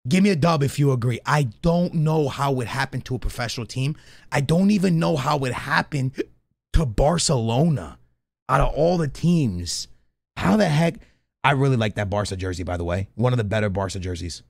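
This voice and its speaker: A man's voice: medium pitch, expressive, moderate pace, vocal fry, no echo. Guy streamer reacting to clips, talks slangy to chat, dry close mic. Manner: slangy, addresses live chat. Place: small indoor streaming room. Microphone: close studio mic on boom arm.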